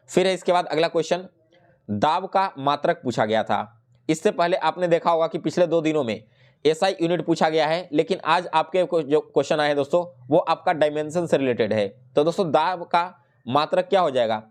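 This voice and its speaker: A man speaks in a slightly high voice. He explains material like an exam-prep teacher, talking straight to his students and dropping English terms into his sentences. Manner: instructional, direct address to viewers, English terms mixed in.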